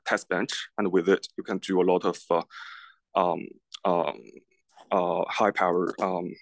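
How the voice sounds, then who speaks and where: A young man with a low voice shows visitors around a lab in an informal way. He speaks haltingly, often repeating words, and the sound is fairly dry. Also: level tone, no room sound. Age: young adult. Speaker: graduate student tour guide. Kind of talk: informal guided lab tour. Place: large open indoor lab.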